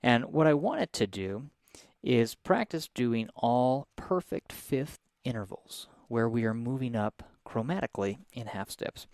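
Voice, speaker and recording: A soft-spoken man, teaching a live piano lesson and explaining each step in a friendly way. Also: mid-range voice, very animated, a little slow, no echo, clean studio sound.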